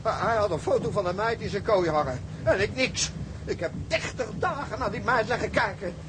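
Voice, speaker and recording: A man's voice: high-pitched, a little fast, lightly acted. A male actor playing a lovesick, hot-headed ship's stoker who stammers indignantly in an audio drama. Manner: stammering, pleading. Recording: clearly noisy audio track.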